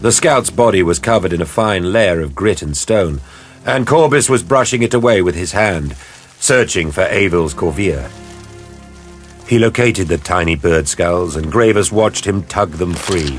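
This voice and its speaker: A male narrator tells a scripted audio drama in a low voice. His delivery is measured and grave. Music plays underneath. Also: expressive.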